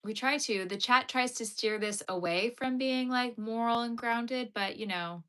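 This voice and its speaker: Bright-voiced Canadian woman chatting while crocheting. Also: a little high, unhurried, smooth. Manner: reads and answers chat comments while crocheting. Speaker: crochet livestreamer. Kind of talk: casual livestream chat.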